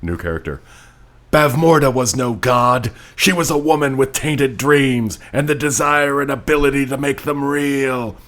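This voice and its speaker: A man's voice: mid-range voice, unhurried, fairly bright tone. A man co-hosting a podcast, moving between casual wry comments about books and dramatic read-alouds. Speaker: podcast co-host.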